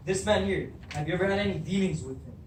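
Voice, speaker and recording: A man plays a proud, troubled king in a classical tragedy, commanding and declamatory. His voice sits a bit high, caught from a distance over faint noise. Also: quick pace, close to an everyday voice. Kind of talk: beginning drama class readers theatre. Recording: echoey room, distant mic, faint noise.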